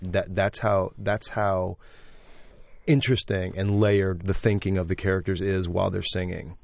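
An enthusiastic male stage actor speaks as an interview guest in a loud, somewhat braying voice. His voice is low, with a gravelly edge. The sound is dry, with no room around it. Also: little pitch movement, normal speed, dry recording. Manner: enthusiastic, effusive. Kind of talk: casual interview talk.

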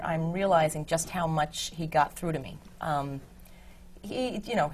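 A woman speaking as an actress on a panel, hesitant and thoughtful, with many pauses. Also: deep voice, a little hiss or hum. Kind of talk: panel discussion.

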